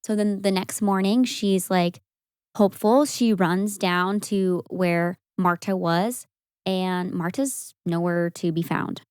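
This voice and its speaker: A young woman co-hosting a podcast, telling a story in a casual, animated way with frequent laughs. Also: on the low side, takes it slowly, clear, resonant tone, dry recording, studio quality. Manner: animated, laughs often, acts out quoted voices.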